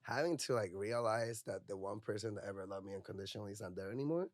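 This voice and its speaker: Guest: low-voiced young man from Puerto Rico, reflective and candid, dry close sound. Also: fast, dry recording. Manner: reflective, heavy use of "like" and "you know". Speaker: podcast guest, mental health counselor. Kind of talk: candid personal conversation.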